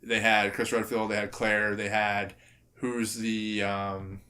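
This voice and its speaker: A man's voice: fairly low pitch, little pitch movement, slow pace, background noise. Emphatic man chatting on a podcast. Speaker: podcast co-host. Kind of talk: casual chat about video game movies.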